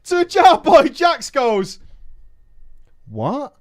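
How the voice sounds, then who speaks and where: A man's voice: extremely high pitch, wildly swooping pitch, extremely slow. A man streamer reading a viewer's story aloud and breaking in with emphatic, mocking asides.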